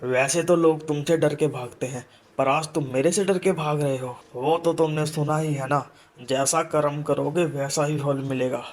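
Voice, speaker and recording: A man puts on a confident holy man for an animated moral tale. He sounds authoritative and unhurried, with a taunting edge toward evil. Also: fairly high pitch, lightly acted. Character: confident holy man who vanquishes ghosts.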